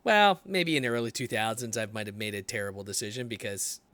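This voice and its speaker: Bright-voiced man in his late thirties. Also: on the low side, quick pace. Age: late 30s. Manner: loose, swears freely, stumbles and restarts. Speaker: podcast host. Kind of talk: casual conversation.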